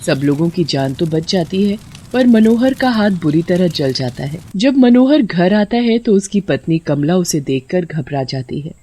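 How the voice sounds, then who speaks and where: A woman's voice: fairly low pitch, very animated, measured pace, dark tone, slightly rough. A woman reading a scripted story as narrator.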